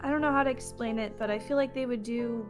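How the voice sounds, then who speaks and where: A woman's voice: on the high side, steady tone, quick pace, over music, close to an everyday voice. A woman playing an outspoken, hopeful mage who defends dragons, in an improvised fantasy game roleplay. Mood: concerned, persuasive. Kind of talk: improvised Minecraft roleplay acting.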